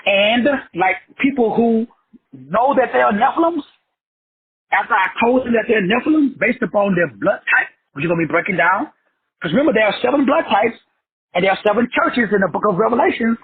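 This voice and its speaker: Middle-aged man, motivational teacher: high, lively pitch, preachy and profane, muffled lo-fi sound. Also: brisk, slightly rough. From South Texas. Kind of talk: motivational class lecture.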